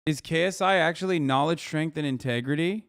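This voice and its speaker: A man reacting live on stream, voice sitting a little above the usual male range. He talks casually and sounds incredulous, dropping swear words and quick asides as he watches. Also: on the high side, gravelly, fairly bright tone.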